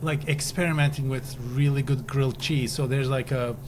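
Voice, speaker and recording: A man from Turkey chats casually as a podcast host, in a mid-pitched voice. His recording has clear background noise. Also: fairly even intonation, normal speed. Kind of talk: casual interview chat.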